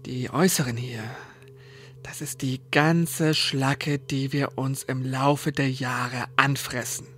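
An actor playing an unhinged, brooding male survival contestant in a horror audio drama, with a halting, whispery, fluttering voice. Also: medium pitch, fairly even intonation, fairly bright tone, soft background music. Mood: brooding, eerie calm.

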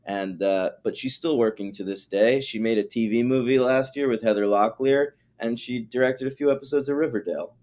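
Casual male podcast co-host, mid-pitched, lively and foul-mouthed, easygoing banter. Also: a little fast, hardly any pauses. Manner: animated, profane, joking.

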